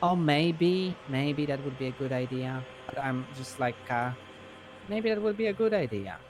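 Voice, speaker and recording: A man's voice: mid-range voice, expressive, normal speed, music in the background. A man chatting casually and improvising in an online roleplay game, rambling and hesitant, with frequent swearing.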